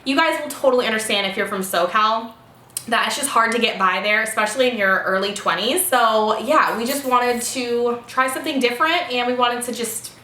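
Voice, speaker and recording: Bright-voiced chatty young woman. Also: on the high side. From California. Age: 20s. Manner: chatty, rambling, quick to laugh. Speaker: vlogger host. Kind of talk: casual Q&A chat. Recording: roomy echo, faint noise.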